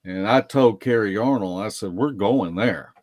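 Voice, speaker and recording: A man telling field stories casually as a podcast guest, in a halting way with drawn-out pauses. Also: on the low side, a little mellow, dry recording, clean studio sound. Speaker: guest, Bigfoot field investigator. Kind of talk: casual storytelling in a live podcast interview.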